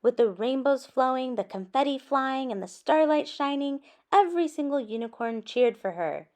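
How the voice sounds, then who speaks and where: A woman reading a children's story aloud in an expressive way, with animated asides addressed to young listeners. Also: sits high, normal speed. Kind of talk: expressive picture-book read-aloud.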